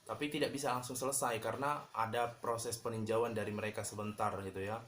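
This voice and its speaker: A man from Medan sharing tips on his channel in a casual vlog, talking in a relaxed, halting way with many fillers. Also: lowish voice, slight background noise. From Medan, Indonesia. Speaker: YouTuber.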